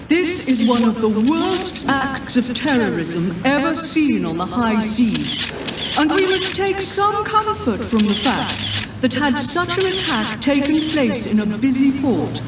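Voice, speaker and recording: A woman's voice: high voice, heavily acted. Echoey, distant woman playing a grave government spokesperson. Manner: formal, grave, declamatory. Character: grave, official government spokesperson at a press briefing. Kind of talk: formal scripted statement, radio play acting. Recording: echoey, distant, noisy press room sound. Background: press conference ambience with camera shutters.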